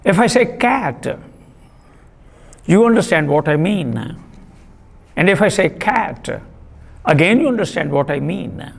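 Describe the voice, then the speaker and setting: A man giving a classroom lecture on literary theory, deliberate and repetitive, pausing with fillers. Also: fairly high pitch, sing-song, creaky, long reverb tail. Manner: deliberate, repeats key terms, frequent uh fillers.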